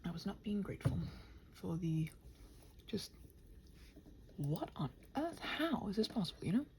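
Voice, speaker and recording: Female ASMR host, relaxed and dark-toned yet lively in pitch; distracted, playful self-talk that drifts into whispering. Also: unhurried, slight background noise, rough recording. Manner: scattered, muttering to herself, often drops into whispers; playfully talks to the computer and quotes imagined exchanges. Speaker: channel host rambling while working. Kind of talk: casual rambling body-doubling ASMR chat.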